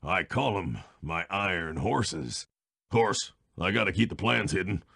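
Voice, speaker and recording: A rough, folksy train baron villain with a cowboy drawl. His voice is very creaky and swings widely in pitch, with a husky edge, like a big boss in a cartoonish game. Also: lively intonation, a little slow, bright, forceful tone, heavily acted. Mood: boastful. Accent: North American cowboy drawl. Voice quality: gravelly, rough.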